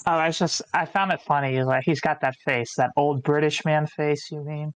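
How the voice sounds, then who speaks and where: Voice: medium pitch, steady tone, normal speed, clear, resonant tone, no echo, rough recording. A participant in a casual group discussion, musing loosely and thinking aloud. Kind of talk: casual chat.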